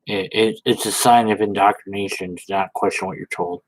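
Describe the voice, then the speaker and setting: A man giving informal, sarcastic commentary and dramatic readings, with a halting, theatrical manner. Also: lowish voice, fairly even intonation, low-quality recording. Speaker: host reading and commenting on social media posts.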